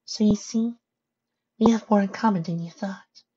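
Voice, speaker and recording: A woman voicing a gentle, sorrowful young female pony in a storybook reading, speaking softly and earnestly. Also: mid-range voice, husky, highs cut off, voice pushed up, close to an everyday voice. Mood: somber. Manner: soft, earnest, measured.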